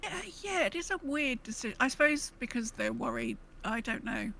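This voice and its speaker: A woman's voice: a little high, lively intonation, moderate pace, creaky, sharp tone. A woman co-hosting a podcast in casual chat, with some noise behind her. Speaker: podcast co-host.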